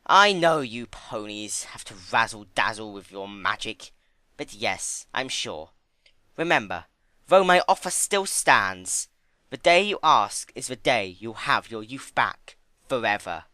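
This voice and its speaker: A man's voice: on the low side, big pitch swings, measured pace, bright, forceful tone, dry recording, heavily acted. A man voicing a sardonic, pompous male trickster creature in a dramatic storybook reading, with a dry, mocking manner. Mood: grave, sincere. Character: sardonic, theatrical male draconequus, chaotic trickster. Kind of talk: dramatic fan fiction reading.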